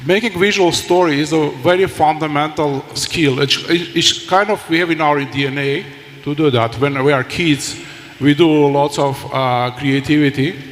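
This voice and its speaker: A man on a conference panel whose first language is Armenian, talking in a halting way with many restarts. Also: on the high side. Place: large conference hall. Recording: hall reverb, faint noise.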